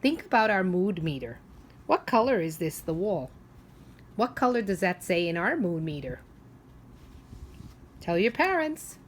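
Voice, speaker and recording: A woman teacher leading a read-aloud for young children, asking simple questions and pausing for them to think. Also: lowish voice, sing-song, a little hiss or hum.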